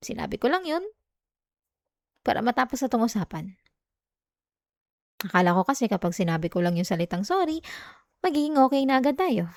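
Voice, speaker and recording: A woman's voice: mid-range voice, darkish tone, dry recording, studio quality. A woman acting as a jealous, clingy young girlfriend in an intimate ASMR roleplay, with a Filipino accent. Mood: grudging.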